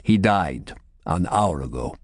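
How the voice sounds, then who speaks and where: Man doing a slow, lisping monk. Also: deep voice, expressive, no room sound, clean studio sound, full character voice. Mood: grave, gentle. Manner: slow, soft, measured. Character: gentle, grave foreign monk with dark face.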